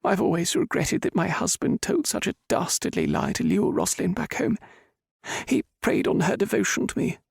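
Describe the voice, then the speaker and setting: A man voicing a gentle, grieving middle-aged mother who speaks softly and tearfully. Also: high-pitched, wildly swooping pitch, normal speed, gravelly, breathy edge, no room sound, studio quality, pitched above the performer's own voice, heavily acted. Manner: soft, tearful and pleading.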